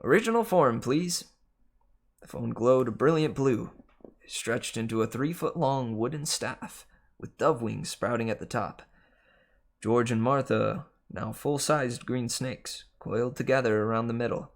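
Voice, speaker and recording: Audiobook reader for a novel: storybook narration, taken at a slow pace. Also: medium pitch, vocal fry. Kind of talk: storybook narration, reading aloud.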